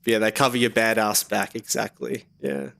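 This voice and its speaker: A man's voice: medium pitch, normal speed, gravelly. A young man casually talking through a game on a live stream, rambling with lots of fillers while slightly sick and sniffly. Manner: relaxed, rambling, many fillers.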